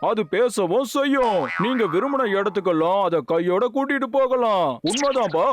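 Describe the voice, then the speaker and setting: An actor voicing an eccentric male inventor in a cartoon dub, smooth-talking and reassuring like a salesman. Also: high-pitched, animated, moderate pace. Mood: persuasive. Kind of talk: Tamil-dubbed cartoon voice acting. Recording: background music.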